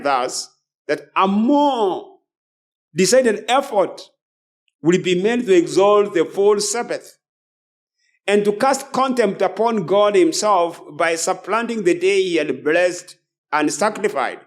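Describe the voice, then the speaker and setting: A man preaching a sermon in a solemn, declamatory manner, repeating phrases and reading out quotations as a preacher. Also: sits high.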